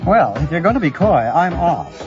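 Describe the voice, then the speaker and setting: Comic audio drama: theatrical, catty male party pest, high voice, extremely animated, over music. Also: moderate pace. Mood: catty, flirty. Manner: theatrical, arch. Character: camp, catty, flirtatious male party gatecrasher. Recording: dull lo-fi sound, music behind.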